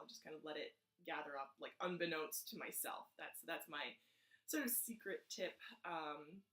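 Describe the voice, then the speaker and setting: A woman's voice: medium pitch, fairly bright tone, roomy sound. A young woman vlogging casually about saving money, chatty with lots of fillers, her voice scratchy from the early morning. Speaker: personal finance vlogger. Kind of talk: casual vlog chat.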